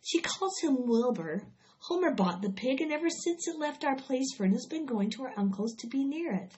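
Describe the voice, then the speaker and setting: A woman reading aloud, voicing a worried farm mother who chides gently and patiently. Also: high-pitched, lively intonation, normal speed, pitched above the performer's own voice. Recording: dull lo-fi recording.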